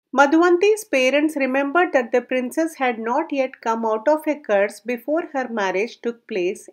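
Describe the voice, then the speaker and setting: A woman narrating a folk tale aloud in a measured storybook reading, her sentences falling at the ends. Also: fairly high pitch.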